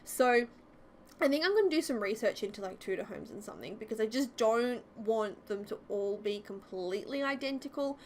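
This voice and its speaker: A woman's voice: fairly high pitch, lively intonation, fairly quick, a little mellow, slight background noise. A young woman with an Australian accent chatting casually and rambling through fillers as a YouTuber narrating a game build. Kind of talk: casual chat over a game speed build.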